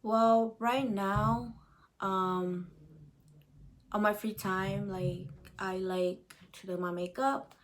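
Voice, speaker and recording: A woman's voice: medium pitch, steady tone, extremely slow. A woman in her twenties from El Salvador, chatting casually to her viewers in a question-and-answer vlog, with lots of fillers and a direct "you guys" manner. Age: 20s. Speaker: vlogger.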